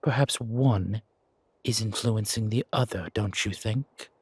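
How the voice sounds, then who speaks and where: A man's voice: lowish voice, unhurried, husky, dry recording. A man voicing an imperious, scolding yet protective ancient deity in a fantasy audio roleplay, commanding and teasing. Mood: chiding, fond.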